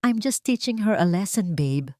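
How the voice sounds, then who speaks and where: A woman's voice: mid-range voice, expressive, normal speed, warm tone, no room sound, close to an everyday voice. Villainess for audio drama: spoiled young socialite voiced by a woman storyteller, venomous, condescending, very dry sound. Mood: mocking. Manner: sneering, condescending, menacing. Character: cruel haughty young rich woman, venomous bully. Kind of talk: dramatic Tagalog storytelling dialogue.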